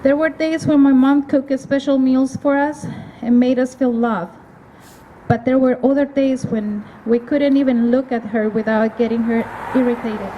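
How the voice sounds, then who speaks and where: A middle-aged woman from El Salvador giving an earnest, measured testimony at an outdoor evening rally, pausing between phrases. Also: sits high, fairly even intonation, warm tone, lo-fi sound. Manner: earnest, measured delivery with pauses. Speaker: rally speaker. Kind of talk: prepared testimony speech. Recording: outdoor recording with clear ambient noise.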